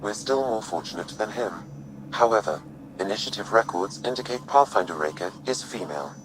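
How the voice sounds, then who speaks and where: An actor voicing a calm, dispassionate artificial intelligence in a sci-fi game, with even, exact, clinical delivery. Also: male voice, lowish voice, fairly quick, background noise, natural voice. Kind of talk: cinematic sci-fi game acting. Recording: music behind the voice.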